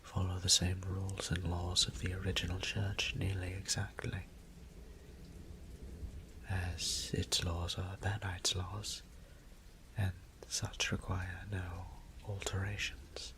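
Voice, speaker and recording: A man playing a weary, tender vampire priest in an intimate audio roleplay, whispering breathily with halting, formal speech. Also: low-pitched, monotone, background noise. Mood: solemn, troubled. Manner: hushed, halting, formal wording. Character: weary, tender vampire priest, adult male, troubled exile.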